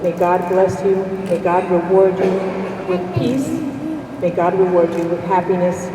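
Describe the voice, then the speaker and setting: A woman guest speaker giving an earnest, measured formal address at a community gathering, heard from a distant mic in a large hall. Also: on the low side, fairly even intonation. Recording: echoey hall from far off, noisy.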